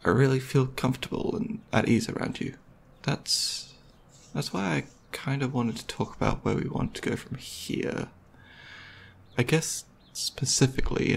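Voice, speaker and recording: A young man playing a gentle, nerdy, considerate boyfriend in an intimate ASMR roleplay, talking in a hesitant, soft-spoken, conversational way. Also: medium pitch, vocal fry, slightly rough.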